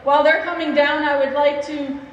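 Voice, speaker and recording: A woman's voice: sits high, little pitch movement, brisk, muffled recording. A woman hosting a ceremony as the organization's leader, speaking informally and off the cuff. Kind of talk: informal unscripted ceremony address. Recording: echoey distant PA with faint noise.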